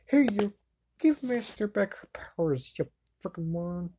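A woman's voice: mid-range voice, expressive, normal speed, dark tone, a little hiss or hum, pitched above the performer's own voice, natural voice. A woman voicing a brash, cocky, hot-headed young sidekick in an anime fan dub, slangy, mouthy and exclamatory, on an amateur home recording. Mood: fired-up, defiant. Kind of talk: anime fan-dub acting, solo voice clips.

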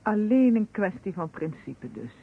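A cool, guarded woman under suspicion in an old radio play. Her voice is husky and moves widely in pitch. The sound is narrow and tinny. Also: sing-song, a little slow, exaggerated voice. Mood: guarded, questioning. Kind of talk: Dutch radio drama acting.

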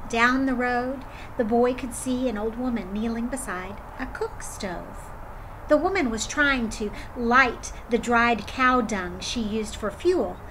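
A woman storyteller reading a children's folktale aloud in an expressive way. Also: fairly high pitch, slight background noise, low-quality recording. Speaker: drama storyteller.

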